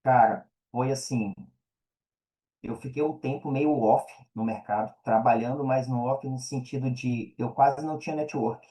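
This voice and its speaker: A Brazilian man with a warm, dark-toned voice chats as a podcast guest. His delivery is colloquial and earnest. He often repeats phrases to drive them home. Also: medium pitch, fairly even intonation, normal speed, low-quality recording. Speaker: podcast guest, affiliate marketer and mentor. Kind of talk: casual podcast conversation.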